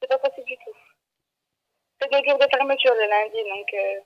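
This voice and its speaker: Woman, shop employee, high voice, blunt, flustered, repeats herself, dull telephone line. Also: normal speed. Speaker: bakery employee. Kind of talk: unscripted customer phone call. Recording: dull, band-limited phone line.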